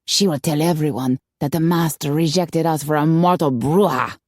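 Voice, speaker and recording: A pious, severe old-world vampire woman from a novel, acted by a woman narrator. She speaks low and darkly, with grave, ominous pronouncements. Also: moderate pace, darkish tone, no echo, studio quality. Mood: grim dread.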